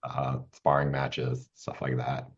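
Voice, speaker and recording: A man chatting casually as a podcast co-host, giving game feedback in a halting, rambling, self-correcting way. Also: a bass voice, monotone, breathy edge, muffled recording. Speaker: podcast co-host and tabletop player.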